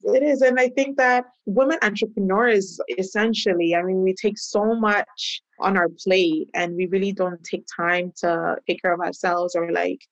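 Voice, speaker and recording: A woman from Ghana, a guest on a podcast, talks about her work and routines in a relaxed, reflective, conversational way. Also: medium pitch, moderate pace, hardly any pauses, no room sound, lo-fi sound. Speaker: guest, tech professional and founder. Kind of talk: podcast interview.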